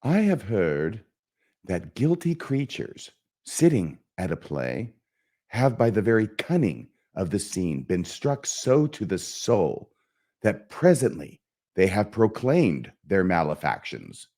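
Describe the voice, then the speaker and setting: Man performing an anguished, low-voiced Shakespearean prince, wildly swinging pitch. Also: sing-song, a little mellow, full character voice. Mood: scheming, brooding. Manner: declamatory, brooding, emphatic with dramatic pauses. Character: anguished young prince, self-loathing, melancholy, bent on revenge. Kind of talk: theatrical Shakespearean stage soliloquy.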